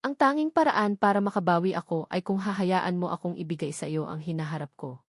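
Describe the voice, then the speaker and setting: A woman's voice: fairly low pitch, brisk, no room sound, studio quality. Woman voicing an earnest, lovestruck young man. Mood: tender, remorseful. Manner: emotional, tender, pleading.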